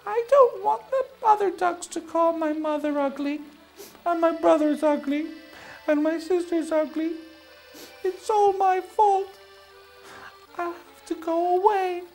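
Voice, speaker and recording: A woman's voice: very high-pitched, a little slow, warm tone, soft background music, voice pushed up, rough recording. A woman voicing a small, sad ugly duckling who pleads timidly and sounds close to tears. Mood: tearful.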